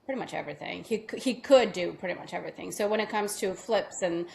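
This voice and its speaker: A woman's voice: fairly low pitch, fast, creaky, a little hiss or hum. A middle-aged woman from Russia with a Russian accent, a real estate agent chatting through an interview, telling stories with lots of fillers. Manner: chatty, storytelling with many fillers. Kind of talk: casual interview chat.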